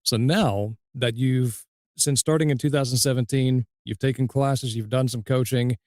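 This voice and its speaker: A man hosting a casual podcast interview in an affirming, measured manner. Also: medium pitch, fairly even intonation, darkish tone, no room sound, studio quality. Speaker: podcast host, audiobook narrator.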